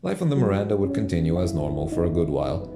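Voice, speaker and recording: A man narrating a scripted space audio drama in measured, literary storytelling style. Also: low-pitched, big pitch swings, over loud music.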